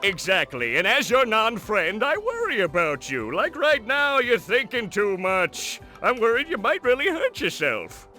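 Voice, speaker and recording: A very high, sharp little villain, sly and smug. He talks down to his listener in lively swoops, a scheming cartoon game character over faint music. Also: a little slow, hardly any pauses, exaggerated voice. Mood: sly, persuasive. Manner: sly, condescending.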